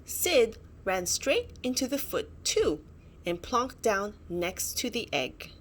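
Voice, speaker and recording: Animated, unhurried woman reading a story. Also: medium pitch, slight background noise. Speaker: storybook reader. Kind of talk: animated children's bedtime story narration.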